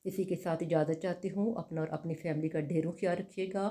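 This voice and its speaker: Middle-aged woman, phone vlog, lower voice, chatty then soft and prayerful. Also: quick pace. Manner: chatty and lively walking around a shop, then soft and prayerful at home. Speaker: vlogger. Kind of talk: casual vlog then devotional closing. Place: street and supermarket, then indoors at home. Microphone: phone camera.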